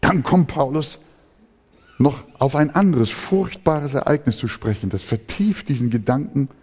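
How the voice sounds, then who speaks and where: A man's voice: mid-range voice, a little slow, darkish tone, husky. A man preaching a sermon as pastor, impassioned, sometimes mimicking grumbling churchgoers. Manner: impassioned preaching, acts out complaining voices. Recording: long hall reverb.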